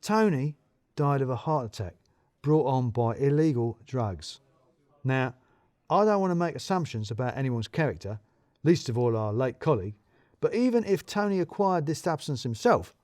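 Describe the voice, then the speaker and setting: A man's voice: mid-range voice, animated, normal speed, a little mellow, close to an everyday voice. A pompous male office manager, acted out by a male story reader barks in clipped, emphatic corporate phrases. He is self-important and bossy. The sound is dry, with no room echo. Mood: grave, insinuating.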